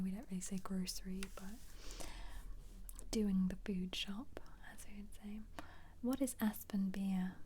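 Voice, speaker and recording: A woman speaking as an ASMR host in a gentle whisper, musing casually and without hurry as she flips through a book. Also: mid-range voice, slight background noise. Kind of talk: soft-spoken ASMR book flip-through.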